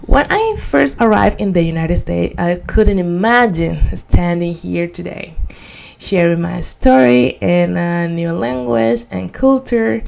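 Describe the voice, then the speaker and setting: A woman from Venezuela with a Spanish accent gives a prepared motivational presentation in earnest, deliberate non-native English. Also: lowish voice, lively intonation, creaky, darkish tone. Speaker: student giving a personal speech.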